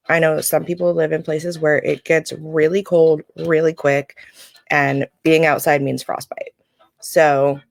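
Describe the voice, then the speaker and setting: Scratchy, low-voiced woman reading oracle cards. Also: vocal fry, no room sound. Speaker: live-stream oracle card reader and host. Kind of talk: casual spiritual card reading to a live audience. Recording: music playing during a live stream.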